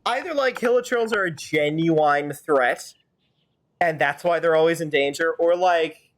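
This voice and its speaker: A woman's voice: deep voice, a little slow. A woman streaming a game, improvising fan-dub commentary in a wry, sardonic, rambling way. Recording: faint game music behind. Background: faint game music.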